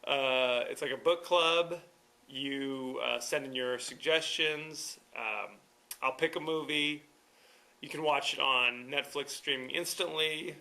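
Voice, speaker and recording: Wry, gravelly-voiced podcast host. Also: male voice, mid-range voice, slow pace, sharp tone. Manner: wry, rambling, chuckling asides. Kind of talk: casual solo movie review.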